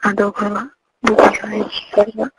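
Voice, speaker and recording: Even-toned young woman on phone. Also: medium pitch, normal speed. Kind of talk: casual intimate phone chat. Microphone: phone call. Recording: noisy phone call with clicks.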